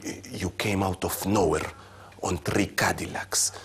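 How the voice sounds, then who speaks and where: A man acting a nervous, excitable mafia candidate in a comic film, stammering in broken. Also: low-pitched, measured pace, slight background noise. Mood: nervous recounting. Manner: broken English, stammering, breathless storytelling. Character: nervous, excitable young male mafia candidate, bullied, pleading earnestly.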